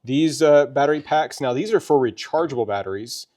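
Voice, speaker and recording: A man with a medium-pitched voice presents a product demonstration. He talks in a casual, folksy way, chatting through each step conversationally. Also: expressive, fairly quick, darkish tone, a little hiss or hum. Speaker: presenter of a gear review.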